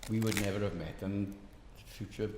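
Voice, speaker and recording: A man's voice: lowish voice, steady tone, brisk. An older man in his sixties, reminiscing casually. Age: 60s. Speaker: interviewee. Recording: echoey hall, faint noise.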